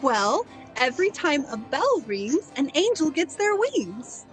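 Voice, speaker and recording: A woman acts a centuries-old guardian angel for a radio play, high in pitch and unhurried. She is innocent and patient, explaining matters plainly, with music clearly under her voice. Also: sing-song. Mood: gentle, wondering.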